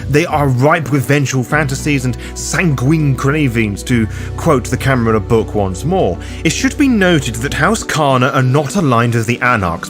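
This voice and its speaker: A man delivers scripted narration for a roleplaying lore guide, with music clearly playing behind him. His pitch sits in the middle range for a man. The wording is formal and ornate, spoken directly to the listener. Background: background music under the voice.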